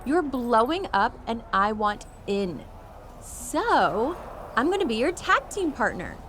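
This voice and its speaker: A woman's voice: a little high, big pitch swings, slow pace, a bit bright, a little hiss or hum, full character voice. A woman playing a vain, self-absorbed young pro wrestler in a video game, breezy and gushing with a sugary passive-aggressive edge. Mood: gushing, confident. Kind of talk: video game cutscene acting.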